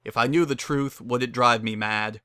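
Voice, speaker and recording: A wary male pony acted by a man in a dramatic story reading. His voice is bright and mid-pitched, with a skeptical, questioning edge. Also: fairly even intonation, normal speed, clear, resonant tone, no room sound, natural voice.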